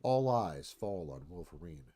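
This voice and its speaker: A man's voice: low-pitched, big pitch swings, unhurried, mellow. A man narrating scripted scene directions as the narrator of a radio theatre read.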